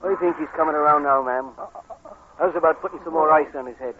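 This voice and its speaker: A man's voice: fairly high pitch, fast, close to an everyday voice. An actor playing a folksy, easygoing policeman in a 1940s radio drama, informal and reassuring. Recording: tinny, noisy 1940s radio recording.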